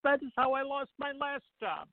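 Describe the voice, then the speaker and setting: A high, animated actor plays a dim young bachelor who dreams of acting, cheerful and earnest in a radio farce. He delivers lines slowly and goofily. The sound is narrow and phone-like. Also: no echo. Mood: earnest rehearsing. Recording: muffled, phone-like narrow-band audio.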